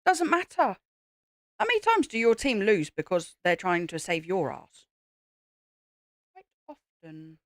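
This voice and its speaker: A woman's voice: medium pitch, wildly swooping pitch, measured pace, pauses often, dry recording, studio quality. Teasing woman co-host. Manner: teasing, mocks her partner. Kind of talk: casual livestream chat.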